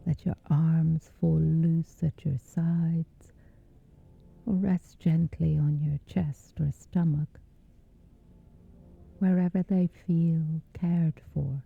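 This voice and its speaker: A woman speaking softly in a whispery, breathy voice, gently and soothingly guiding a sleep meditation with long, measured pauses. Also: low voice, flat delivery. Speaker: guided meditation host. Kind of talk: slow guided sleep meditation. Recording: dull muffled audio under music. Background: music.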